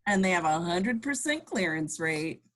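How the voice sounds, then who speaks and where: A woman's voice: fairly low pitch, lively intonation, moderate pace, fairly bright tone. A woman playing a wry, jaded male control-room technician in an amateur table read.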